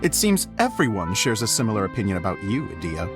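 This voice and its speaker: A man's voice: on the low side, huge pitch swings, fast. A man voicing an eccentric, grumbling but curious male theater director in a video game cutscene. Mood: amused. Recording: clear music behind the voice.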